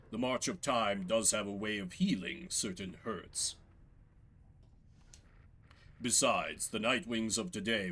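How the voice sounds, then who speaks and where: A man voicing a grave, wise elder rebel leader in a game, speaking in a measured, formal, solemn manner. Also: lowish voice, fairly bright tone, breathy edge, voice pushed down. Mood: warm reflective.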